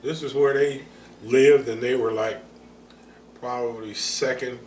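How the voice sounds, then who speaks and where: An older man from Chicago, recalling his neighborhood for his grandson in a slow, halting, casual interview. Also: medium pitch, fairly even intonation, darkish tone, slight background noise. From Chicago, United States. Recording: roomy, voice from far off, faint music.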